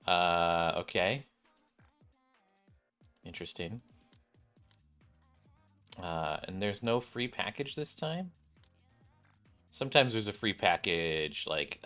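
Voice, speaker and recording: A young man doing casual game commentary, reading on-screen text aloud and thinking out loud. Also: lowish voice. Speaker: gaming streamer.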